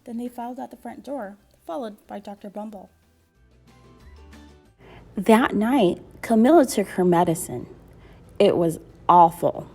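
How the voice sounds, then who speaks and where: A woman reading a children's storybook aloud in an expressive, deliberate storytelling manner. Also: mid-range voice, breathy edge, faint music behind. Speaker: school librarian reading aloud.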